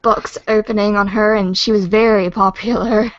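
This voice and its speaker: A woman's voice: medium pitch, fairly even intonation, moderate pace, dry recording. Young aussie woman w a bit of american in her accent, giggly, muffled call audio. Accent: Australian with an American tinge. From Australia. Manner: giggly, laughs often between answers. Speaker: guest, gaming video maker. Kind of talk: casual video call chat. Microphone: video call.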